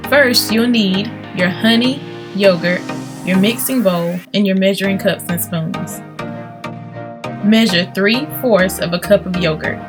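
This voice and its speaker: Woman, recipe narrator, step-by-step scripted voice-over, measured slow delivery, music playing clearly behind. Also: medium pitch. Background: music under the voice.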